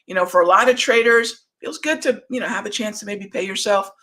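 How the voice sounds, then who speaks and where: A middle-aged woman hosting a live market show, chatting casually and reading out viewer questions in a voice that is going hoarse. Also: mid-range voice, a little fast, pushed and bright. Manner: conversational, reads viewer comments aloud, many fillers. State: losing her voice, throat clearing. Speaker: trading show host.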